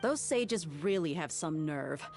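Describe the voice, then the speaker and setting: Casting: combative, skeptical game heroine, deep female voice, blunt delivery, music underneath. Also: lively intonation, slow pace, darkish tone, exaggerated voice. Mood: indignant. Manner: blunt, challenging. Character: tough, outspoken adult woman, skeptical and combative. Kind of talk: video game voice acting.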